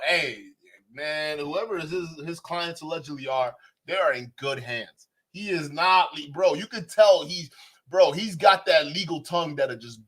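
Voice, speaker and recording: A man reacting to a clip on his stream in loose, excitable slang, often laughing and calling people bro. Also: a little high. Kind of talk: casual reaction commentary.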